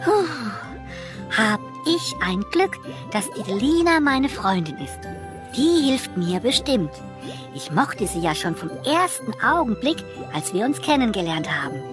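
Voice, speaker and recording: An actress playing a scatterbrained, excitable little mouse boy in a children's audio play, talking in a muddled, exclaiming way. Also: on the high side, a little slow, over music. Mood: relieved.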